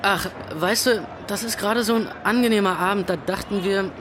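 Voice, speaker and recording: Man as slangy young slacker, high voice. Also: brisk, background noise, close to an everyday voice. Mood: flippant, stalling. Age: 20s. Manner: slangy, hesitant. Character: slacker club owner in his twenties, evasive buddy. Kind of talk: naturalistic radio drama dialogue.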